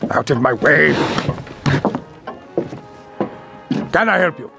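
An actor playing a miserly, scornful old business owner in a radio play, curt and gravelly. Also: male voice, a little high, animated, fairly quick, music in the background. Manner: curt, contemptuous, dismissive.

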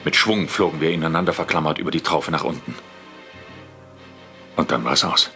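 An actor playing a sceptical, matter-of-fact police inspector who hunts monsters in a German audio drama. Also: male voice, rumbling low, normal speed, sharp tone, faint music behind, heavily acted. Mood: dramatic narration. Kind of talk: German audio drama acting and first-person narration.

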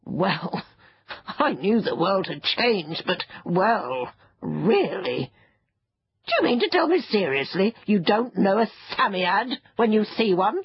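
Woman voicing a husky, crotchety ancient sand fairy. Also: medium pitch, sing-song, slow, dull audio, full character voice. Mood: crossly indignant. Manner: scornful, peevish, self-important. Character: ancient furry sand fairy, crotchety, haughty, easily offended. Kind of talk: children's storybook character voice.

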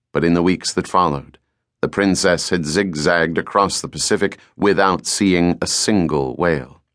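A man with a fairly low voice reads a novel as a professional audiobook narrator. His delivery is scripted, measured and deliberate, with a dramatic storytelling feel. The sound is very dry, with no room around it. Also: a bit bright, no room sound.

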